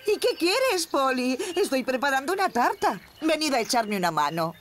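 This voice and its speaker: A woman's voice: high-pitched, sing-song, slow pace, full character voice. A woman playing a cheerful, bustling older farm sister in a children's cartoon, hearty and welcoming, with a Castilian Spanish accent.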